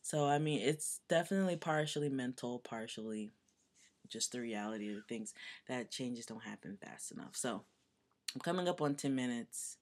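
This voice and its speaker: A young man with a voice that is still deepening, giving a chatty, earnest video update full of fillers. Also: animated, normal speed. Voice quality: voice still deepening, not yet fully low. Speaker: vlogger. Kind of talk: casual personal video update.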